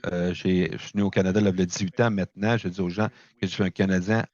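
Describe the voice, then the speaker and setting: A man giving a conference talk over a video call, halting, with many fillers, speaking French as a second language. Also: deep voice, fairly even intonation, a little mellow, no room sound, highs cut off. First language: not French. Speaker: presenter at a launch event. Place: remote location, speaking in from afar.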